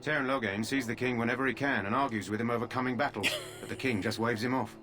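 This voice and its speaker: An actor playing a courteous royal guard who talks too freely. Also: male voice, medium pitch, steady tone, fast, sharp tone, faint music behind. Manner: polite, overly talkative. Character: loose-lipped male royal guard.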